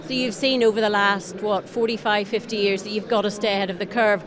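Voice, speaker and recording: Female interviewer, mid-pitched, conversational questions, clear crowd bustle around her. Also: fairly even intonation, a little fast. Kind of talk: conversational trade show interview. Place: busy exhibition hall. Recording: busy trade show crowd noise.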